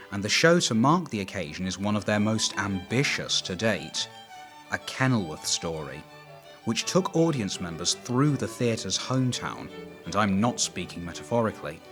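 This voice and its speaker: A man with a fairly low voice narrates a documentary from a script. Music plays clearly behind his voice. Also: a bit bright. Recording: prominent music bed behind voice.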